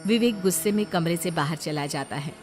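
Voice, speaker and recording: A woman's voice: fairly low pitch, fairly quick, music in the background. A woman narrator reading a scripted moral story.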